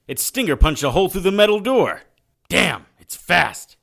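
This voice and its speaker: A man's voice: sits high, sing-song, moderate pace, creaky, a bit bright, exaggerated voice. A man playing a gutsy, wisecracking 1940s detective hero in an audio drama, with a wry, hard-boiled way of talking. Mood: taunting, winded. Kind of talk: full-cast audio drama acting.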